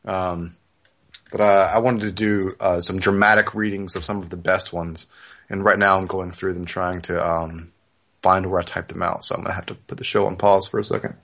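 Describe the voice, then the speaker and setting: A man's voice: low-pitched, little pitch movement, moderate pace, a bit bright. A man hosting a podcast in casual, informal chat. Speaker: podcast host.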